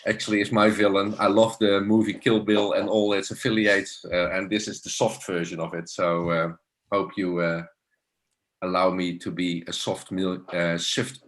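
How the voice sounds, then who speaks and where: A business owner introduces himself to an online workshop in a fairly low voice. He speaks deliberately and pauses often with uh. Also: a bit bright.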